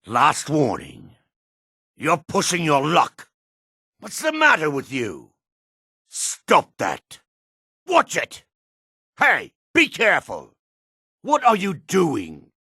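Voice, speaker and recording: Raspy, gravelly dark elf. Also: male voice, on the high side, wildly swooping pitch, slow pace, pauses often, dry recording, clean studio sound, full character voice. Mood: combative, angry. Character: gruff, wary, embattled male dark elf. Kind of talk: fantasy video game voice acting.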